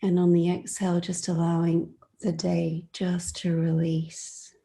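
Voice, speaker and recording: A woman guides a meditation in a gentle, slightly low voice with a deeply mellow, muted tone. She speaks slowly and softly, sometimes slipping into breathiness, and leaves unhurried pauses between soothing phrases. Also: completely flat delivery, gravelly.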